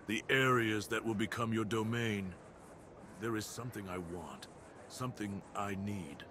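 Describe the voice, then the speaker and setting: Casting: male actor as commanding, brooding wolf beast, mid-pitched, formal and deliberate, for ASMR fantasy drama. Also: fairly bright tone, slight background noise, close to an everyday voice. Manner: solemn, deliberate, theatrical delivery with formal wording. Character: brooding cursed dire wolf beast-man, commanding castle master. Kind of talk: ASMR roleplay, dramatic monologue.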